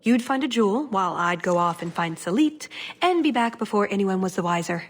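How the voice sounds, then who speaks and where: A woman's voice: medium pitch, expressive, a little fast, slight background noise, close to an everyday voice. A woman playing an earnest, wry young elf agent in a dramatic video game scene. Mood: wistful. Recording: music behind the voice.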